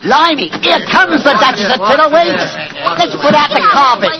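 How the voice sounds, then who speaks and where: An actor playing a rowdy, mocking Cockney street loafer in a 1940s radio drama. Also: male voice, extremely high pitch, lively intonation, moderate pace, dull audio. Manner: mocking, belligerent. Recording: old radio recording with crowd din.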